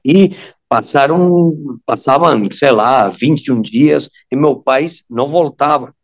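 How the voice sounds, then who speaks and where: A man of fifty-one, a native Spanish speaker with a Venezuelan accent, telling his story as a guest in a halting manner, searching for words. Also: medium pitch. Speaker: guest, surgeon and amateur radio operator. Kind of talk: personal storytelling in an interview.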